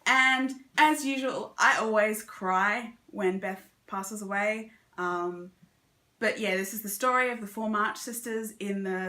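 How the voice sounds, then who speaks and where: Aussie woman doing a chatty book vlog, bright voice, kinda echoey room. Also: mid-range voice. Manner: chatty, conversational. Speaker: book vlogger. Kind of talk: casual book review chat to camera.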